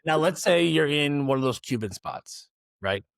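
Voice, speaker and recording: A man's voice: on the high side, lively intonation, normal speed, creaky, no room sound, clean studio sound. A man hosting a business podcast, chatting upbeat and explaining things straight to his listeners. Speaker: podcast host. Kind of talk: casual interview and ad read.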